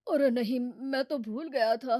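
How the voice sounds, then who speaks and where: A woman plays an older father-in-law in an animated family tale. He is trusting and baffled by new things, with a moderately high voice. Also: unhurried, dry recording, studio quality. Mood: sheepish. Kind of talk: animated family story character acting.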